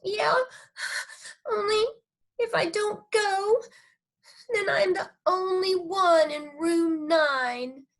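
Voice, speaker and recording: A woman voicing a dramatic, whiny, excitable little girl in a children's audiobook, with childish grammar and indignant exaggeration. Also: extremely high pitch, drawn-out words, long pauses, pitched above the performer's own voice, full character voice. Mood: pouting, tearful. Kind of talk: first-person children's audiobook reading.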